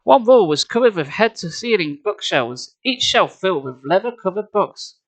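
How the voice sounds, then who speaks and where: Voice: mid-range voice, lively intonation, moderate pace, poor audio. A storyteller reading a children's book expressively, slipping in casual, wry and mocking asides. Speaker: storyteller, live streamer.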